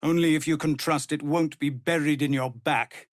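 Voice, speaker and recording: A middle-aged man playing a weary, dutiful tiefling commander of refugees in a video game, speaking formally and earnestly with measured authority. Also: a little high, fairly even intonation, no echo, studio quality, close to an everyday voice. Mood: grave, earnest. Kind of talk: dramatic video game voice acting.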